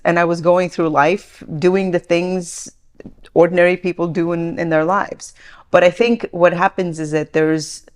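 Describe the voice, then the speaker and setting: A woman in her forties, born in Iran, speaking earnestly and reflectively as an interview guest, with frequent restarts and fillers. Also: lowish voice.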